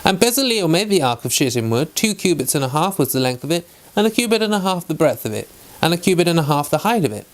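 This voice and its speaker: A man hosting a daily Bible read-along, speaking in a measured, deliberate way. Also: a little high, big pitch swings. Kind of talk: reading scripture aloud with casual chat.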